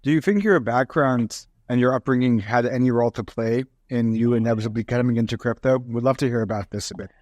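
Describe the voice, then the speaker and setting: A man's voice: medium pitch, quick pace, few pauses, a little mellow, dry recording, highs cut off. Enthusiastic man podcast host. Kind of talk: casual podcast talk.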